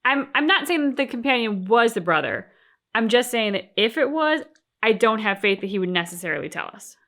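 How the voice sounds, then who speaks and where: A woman's voice: on the high side, very animated, a little fast, a bit bright. A woman co-hosting a game reaction channel, talking through her opinions casually and emphatically. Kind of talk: casual discussion and commentary.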